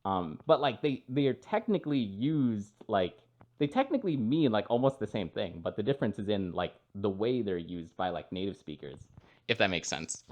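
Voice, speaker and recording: A man's voice: lowish voice, animated, moderate pace, darkish tone, lo-fi sound. A man co-hosting a podcast in casual chat, rambling and filling his talk with "like" and "you know". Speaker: podcast co-host.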